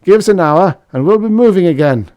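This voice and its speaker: Man voicing high-pitched, urgent big military engineer. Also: expressive, fairly quick, no room sound, lo-fi sound. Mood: weary resolve. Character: big battle-weary male military engineer, disbelieving, loyal.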